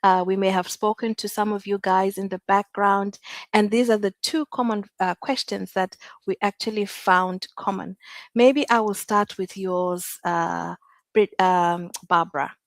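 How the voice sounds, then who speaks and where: A woman's voice: medium pitch, fairly even intonation, a little slow, dry recording. A middle-aged woman with a Zimbabwean accent hosting a casual live talk show, speaking hesitantly and repeating words for emphasis. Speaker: host.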